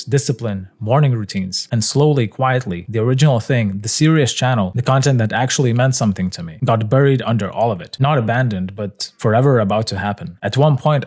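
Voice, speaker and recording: A man's voice: mid-range voice, darkish tone. A man whose first language is Lithuanian, delivering a blunt, confrontational scripted monologue as a video essayist, swearing often.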